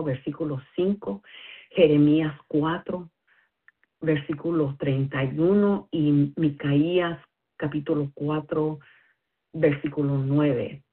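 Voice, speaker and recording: A low-voiced woman with a husky edge calls in over a tinny phone line. She talks through a bible study as a non-native speaker, hesitant and careful, pausing on fillers. Her delivery is slow. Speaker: caller.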